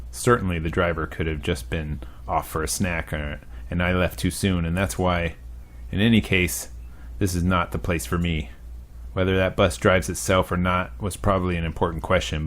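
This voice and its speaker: A man reading a visual novel aloud as a let's play host, casual and profane, now and then bursting into shouts. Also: deep voice.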